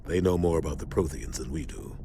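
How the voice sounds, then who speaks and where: An actor playing an authoritative military captain in a video game, explaining things in a grave, firm and earnest manner. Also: male voice, a bass voice, a little fast, over music. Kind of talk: video game cutscene acting.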